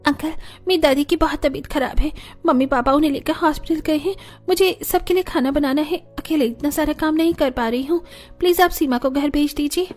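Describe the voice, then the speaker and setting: A woman's voice: high voice, brisk, darkish tone, lightly acted. A woman voicing chatty young women in a cartoon family story. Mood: pleading, chatty.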